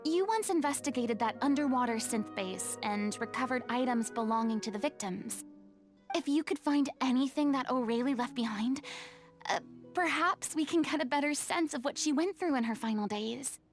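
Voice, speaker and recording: A stern, poised young leader. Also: female voice, high voice, lively intonation, moderate pace. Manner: stern, firm, theatrical. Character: poised young woman lecturing a quarreling troupe. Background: game music.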